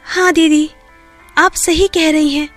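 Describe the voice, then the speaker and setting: A very high, girlish storybook voice, acted by a woman, playing a poor younger sister. She speaks plaintively and slowly, with music underneath. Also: steady tone, fairly bright tone.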